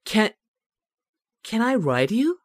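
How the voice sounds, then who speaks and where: A man's voice: sits high, very animated, slow, very noisy, exaggerated voice. A man voicing a cheerful, naive, dim-witted young martial arts hero in a parody anime dub, childlike and innocently blunt. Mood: innocently curious.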